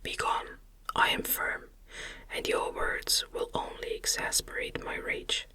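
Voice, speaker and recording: A narrator voicing a defiant, resolute man facing his monstrous creation in a gothic story, with firm, indignant, formal speech in a breathy ASMR whisper. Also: slow, sharp tone.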